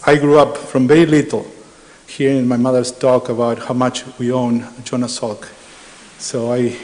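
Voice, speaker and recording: A man's voice: mid-range voice, a little mellow. Argentine-accented man lecturing in a hall. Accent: Argentine Spanish. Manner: measured, with frequent uh fillers. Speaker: architecture professor presenting research. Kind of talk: academic conference talk. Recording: reverberant hall.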